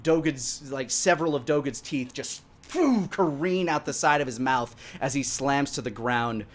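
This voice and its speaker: A man's voice: mid-range voice, animated, moderate pace. Theatrical man narrating as dungeon master. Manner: theatrical, with acted sound effects. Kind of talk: dramatic tabletop game narration.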